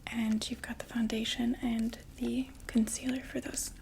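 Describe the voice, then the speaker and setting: A woman in an ASMR roleplay voicing a chatty undead female vampire makeup saleswoman, whispering softly and reassuringly. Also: fairly high pitch, level tone, normal speed, vocal fry, background noise. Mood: soothing. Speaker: ASMR performer.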